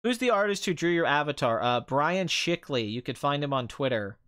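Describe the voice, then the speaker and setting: Clear-voiced man chatting casually, voice tiring. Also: fairly high pitch, expressive, moderate pace, fairly bright tone. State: voice tiring and strained after two hours of talking. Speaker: live stream host, film commentator. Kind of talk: casual rambling live-stream chat about movies.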